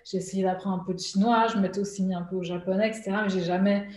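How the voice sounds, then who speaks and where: A woman from Switzerland, talking as a guest in a relaxed interview. Her speech is hesitant and full of fillers, and she laughs often as she thinks aloud. She was recorded at home in an echoey room. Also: mid-range voice, rapid-fire. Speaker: guest, artist and illustrator. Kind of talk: casual conversational interview. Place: at home during lockdown.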